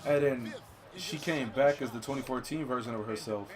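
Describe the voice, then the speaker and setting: A man's voice: mid-range voice, moderate pace. Guy chatting over crowd noise. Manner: slangy, heavy swearing. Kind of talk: casual excited movie discussion with a friend.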